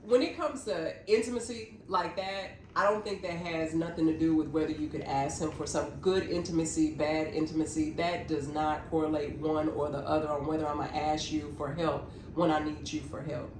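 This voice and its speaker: A woman's voice: low voice, fairly even intonation, normal speed, hardly any pauses, roomy sound, background noise. A woman, co-hosting a podcast and earnestly reasoning through her point. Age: 30s. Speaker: podcast co-host. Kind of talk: casual podcast chat.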